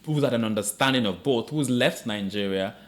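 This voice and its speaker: A man hosting a podcast, chatting with his guest in a relaxed and encouraging way. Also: medium pitch, brisk. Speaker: podcast host. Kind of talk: casual interview chat.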